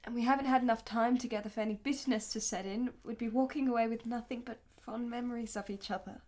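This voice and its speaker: Actress as a lovelorn woman, higher-pitched voice, tender, anguished, dramatic radio play delivery. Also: steady tone, quick pace, darkish tone, close to an everyday voice. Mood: earnest, wistful. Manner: emotional, earnest, dramatic delivery.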